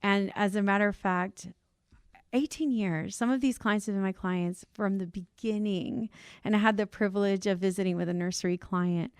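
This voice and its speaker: A woman's voice: mid-range voice, moderate pace, clear, resonant tone, no echo, studio quality. A woman with a Cuban accent, a business owner guest on a podcast, telling her story in an earnest, heartfelt way. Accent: Cuban Spanish. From Cuban family, grew up on the US East Coast. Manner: earnest, emotional, lively when amused. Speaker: podcast guest, insurance brokerage CEO. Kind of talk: conversational interview storytelling.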